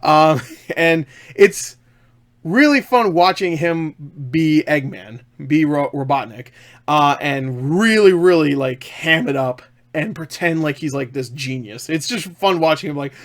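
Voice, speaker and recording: A man's voice: a little high, expressive, unhurried. A man giving a casual, unscripted film review in an enthusiastic, emphatic, rambling way, often repeating himself.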